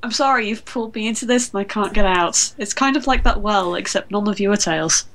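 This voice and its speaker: A woman's voice: mid-range voice, a little fast, fairly bright tone, rough recording. A woman co-hosting a podcast, chatting casually and joking along with the others. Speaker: podcast co-host. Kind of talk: casual chat, joking banter.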